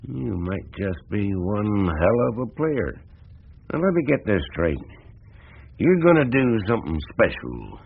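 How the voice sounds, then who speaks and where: An actor playing a proud, folksy older American small-town businessman in a radio drama, with a husky, gravelly voice and a plain-spoken manner. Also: fairly low pitch, very animated, measured pace, phone-quality sound, full character voice. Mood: probing, shrewd.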